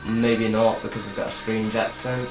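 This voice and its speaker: Halting teen boy vlogging in an echoey room. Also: lowish voice, flat delivery. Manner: halting, repeats words, many fillers. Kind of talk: casual talking-to-camera vlog. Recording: roomy echo with electronic music behind.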